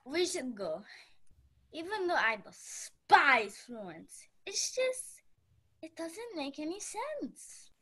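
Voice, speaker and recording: A young woman playing an anxious, doubting, soft-hearted young lady with hesitant delivery in a radio play. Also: very high-pitched, sing-song, takes it slowly, full character voice. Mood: troubled, unsure.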